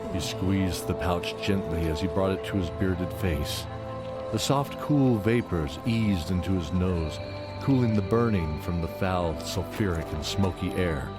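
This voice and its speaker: Deep-voiced male fantasy narrator. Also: unhurried, loud music behind the voice. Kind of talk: scripted dramatic fantasy narration.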